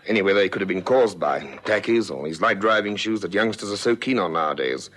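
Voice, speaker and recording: Lively-toned, methodical police lieutenant. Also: male voice, lowish voice. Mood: musing, businesslike. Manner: musing, deliberate, clipped phrases. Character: methodical, unhurried male police lieutenant, quietly authoritative. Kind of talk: vintage radio police drama acting. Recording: faintly noisy vintage radio recording.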